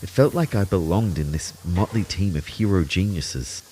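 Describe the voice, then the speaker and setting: An audio drama narrator with a very deep voice. He tells his own story in measured, wry phrases, a self-deprecating everyman. There is noise on the recording. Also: lively intonation, a little mellow. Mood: wry, reflective. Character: male narrator, self-deprecating everyman turned invisible ghost. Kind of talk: scripted first-person audio drama narration.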